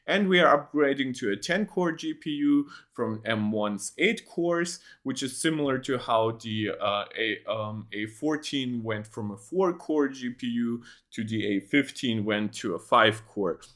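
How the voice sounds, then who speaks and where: Young guy rambling thru a home tech stream, lots of ums, desk mic, pretty average pitch. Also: unhurried, hardly any pauses, a bit bright. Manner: rambling, many ums and restarts. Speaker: tech livestream host. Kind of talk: casual livestream reaction.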